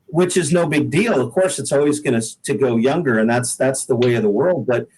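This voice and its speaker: A man's voice: mid-range voice, a little fast, darkish tone, slightly rough, rough recording. Folksy American man chatting casually. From Pacific Northwest, United States. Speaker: interview guest, singer-songwriter. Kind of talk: casual conversational storytelling.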